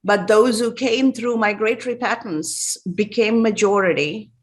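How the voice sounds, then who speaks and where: A woman from Sri Lanka hosting a podcast interview, speaking in a measured, empathetic and reflective way. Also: a little high. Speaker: podcast host. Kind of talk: interview conversation.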